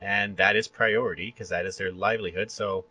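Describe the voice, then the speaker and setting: A man hosting a podcast interview, chatting casually and acting out quoted reactions in an animated way. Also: deep voice, a little fast, no echo.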